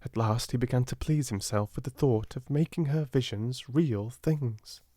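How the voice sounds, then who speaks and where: A gentle Indian manservant from a storybook, voiced by a male reader. He is humble and devoted, with a measured, deferential way of speaking and an air of quiet mystery. The sound is dry and close. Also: medium pitch, no echo, natural voice. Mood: gently confiding.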